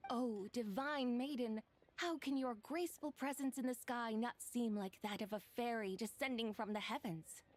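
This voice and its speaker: A woman voicing a proud, serene female immortal in a video game, speaking formally and in measured, stately phrases. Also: a little high, a little hiss or hum, natural voice. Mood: reverent, solemn. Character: proud, serene female immortal moon-maiden, aloof toward mortals. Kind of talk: scripted video game dialogue and poem recitation. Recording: clean studio voice over game music.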